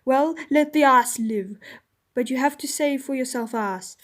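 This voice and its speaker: A woman voicing a stern young king who speaks loudly and with command. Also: high-pitched, unhurried. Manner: loud, commanding.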